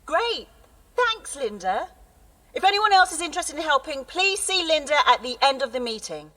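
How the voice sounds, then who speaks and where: An actress playing an earnest schoolteacher who addresses a town meeting with firm, impassioned conviction. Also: female voice, sits high, big pitch swings, moderate pace, sharp tone, far from the mic, exaggerated voice. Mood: determined. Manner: firm, impassioned public speaking. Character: earnest woman teacher rallying a town meeting. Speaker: schoolteacher leading a town campaign. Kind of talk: audio drama.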